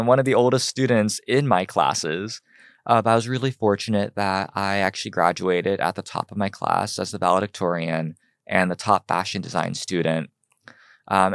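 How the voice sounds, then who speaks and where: A young man from Ohio, a fashion designer telling his story in an earnest, measured way on a podcast. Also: sits low, gravelly, dry recording, studio quality. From United States (Ohio). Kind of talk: podcast interview.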